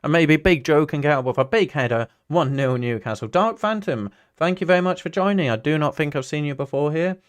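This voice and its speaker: A man's voice: a little high, a little fast, few pauses. A man from Singapore hosting a casual football watch-along, rambling chattily between viewer chat, anecdotes and play-by-play. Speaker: football livestream host, Newcastle fan.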